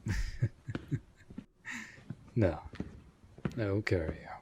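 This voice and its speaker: Man acting a deep, slow, stately vampire lord. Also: noisy background. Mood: tender, composed. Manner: stately, archaic formal diction. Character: formal, protective, commanding male vampire master. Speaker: voice actor in an audio roleplay. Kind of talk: slow, intimate scripted roleplay acting.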